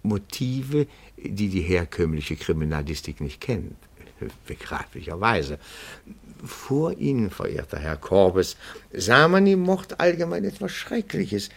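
Fussy, husky, swooping amateur detective. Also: male voice, lowish voice, very animated, unhurried, heavily acted. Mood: pedantic, admiring. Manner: meticulous, fussy, florid, deferential. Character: timid yet nosy, pedantic petit-bourgeois amateur detective. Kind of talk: German radio play dialogue.

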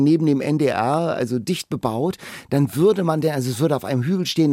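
A man's voice: fairly high pitch, darkish tone, breathy edge, dry recording, clean studio sound. A man co-hosting a podcast, chatting and describing things in an enthusiastic, casual way. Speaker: podcast co-host. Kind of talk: casual chat, descriptive reporting.

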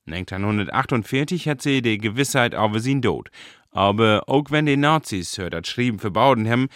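A man with a northern Low German accent, narrating a scripted radio report. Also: expressive, moderate pace, a bit bright, dry recording, studio quality.

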